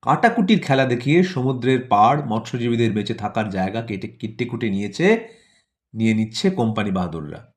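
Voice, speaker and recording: A male storyteller with a mid-pitched voice delivers satire for an audio play. His phrasing is theatrical and rhythmic, built on repetition and coloured with mock wonder. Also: very animated, a little fast. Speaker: narrator.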